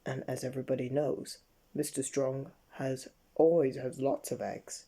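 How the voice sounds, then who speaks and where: A reader narrating a children's bedtime story in a halting way, with slips. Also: medium pitch, level tone, warm tone.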